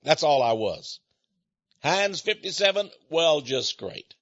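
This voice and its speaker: A man from the United States rambles through a personal monologue in a folksy, digressive way, breaking into chuckles. His voice sits a bit high. The recording is dull and lo-fi, with a dry, close sound. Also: big pitch swings, slow pace, a bit bright, no echo. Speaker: speaker addressing listeners.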